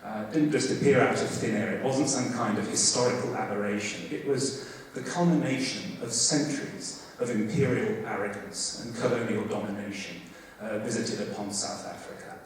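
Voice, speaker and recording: A man hosting a university talk, giving background with many fillers and restarts, with a loud voice. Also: on the low side, normal speed, slight background noise. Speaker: host introducing a guest lecture. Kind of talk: academic lecture. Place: large hall. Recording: echoey hall from far off.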